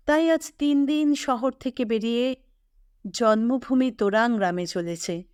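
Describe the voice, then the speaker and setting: Unhurried, higher-pitched woman storyteller. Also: darkish tone, no echo, studio quality. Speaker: audio story narrator. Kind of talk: scripted literary story narration.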